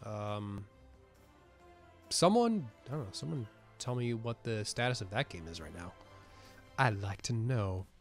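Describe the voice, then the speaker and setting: A man casting a tournament match of a video game, with excited play-by-play and casual asides, sniffling from a cold. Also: fairly low pitch, measured pace, pauses often, faint music behind. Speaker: esports caster. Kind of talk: game commentary.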